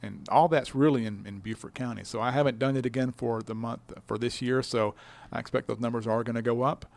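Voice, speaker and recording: A man's voice: mid-range voice, fairly even intonation, fairly quick, vocal fry, dry recording. A man speaking conversationally as an interviewed director, explaining things in a folksy, stop-and-start way. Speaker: business incubator director being interviewed.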